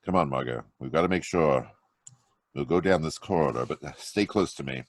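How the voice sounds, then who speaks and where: A man playing a deep-voiced cool criminologist. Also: brisk, no echo, clean studio sound. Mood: urgent. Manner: calm, commanding. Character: cool confident male criminologist hero. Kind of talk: old-time radio drama acting. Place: home over video call.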